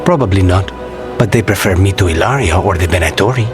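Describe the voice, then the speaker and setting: An actor playing a weary, suave assassin in a fantasy video game, speaking in a dry, measured, understated way. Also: male voice, low-pitched, expressive. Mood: weary, tender. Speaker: companion character in a fantasy game. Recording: loud music behind the voice. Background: music.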